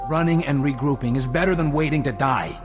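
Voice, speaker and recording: An actor playing an anxious, alarmed intelligence officer in a video game, urgent and insistent. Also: male voice, mid-range voice, a little fast, in a large hall, music in the background, close to an everyday voice.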